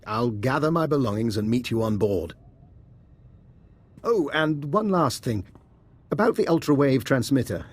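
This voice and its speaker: A man's voice: mid-range voice, darkish tone, husky. A man playing a cunning scientist agent in a video game, polite, formal and calculating in his persuasion. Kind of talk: video game cutscene voice acting.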